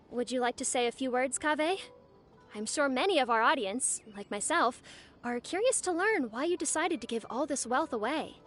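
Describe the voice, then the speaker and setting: Gracious game announcer, high pitch, very melodic, formal, polished, faint music behind. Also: female voice, a bit bright. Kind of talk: video game voice acting, ceremonial speech.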